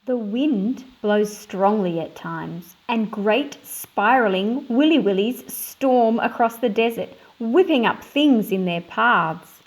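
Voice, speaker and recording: A woman's voice: fairly high pitch, lively intonation, slow, few pauses, poor audio. Australian woman reading to children. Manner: explanatory, simple clear phrasing for young listeners. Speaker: read-aloud host for children. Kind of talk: picture book read-aloud with explanations for children.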